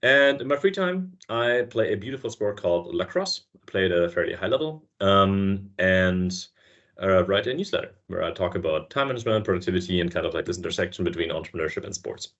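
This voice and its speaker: A man guest on a podcast who explains his work fluently and step by step, in a relaxed, conversational way. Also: low-pitched, brisk. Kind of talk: casual interview chat. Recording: dull lo-fi sound.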